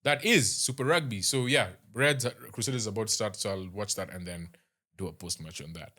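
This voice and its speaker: A young man with a Kenyan accent talks through a rugby match in a loose, chatty way. His voice sits a little low, and he often stops to restart a thought or fill a gap with "um". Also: pushed and bright. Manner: chatty, halting, with many fillers and restarts. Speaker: rugby show host. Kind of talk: casual match review.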